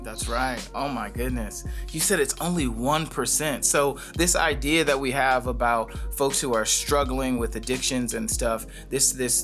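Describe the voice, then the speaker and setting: Upbeat podcast host, bright voice. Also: male voice, medium pitch. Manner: upbeat, many ums and uhs. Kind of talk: podcast intro and interview.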